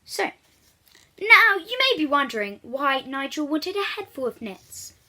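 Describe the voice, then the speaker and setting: A woman reads a children's storybook aloud in a high, very bright voice. She is animated and expressive, talking directly to young listeners as she goes. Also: a little slow. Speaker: children's book reader.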